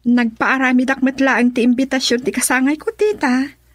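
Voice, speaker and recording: A woman's voice: high voice, fairly even intonation, moderate pace, no echo. A woman with an Ilocano Filipino accent playing a polite, sweet-mannered young woman in a radio drama. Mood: polite, eager.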